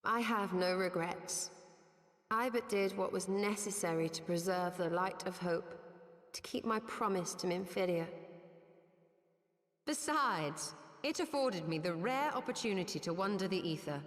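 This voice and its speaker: An actress playing a composed, resolute sorceress in a video game, speaking with poised, formal, measured phrasing. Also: female voice, fairly low pitch, lightly acted. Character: composed, resolute adult sorceress, respectful former pupil. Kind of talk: dramatic video game cutscene acting. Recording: echoey hall with long reverb.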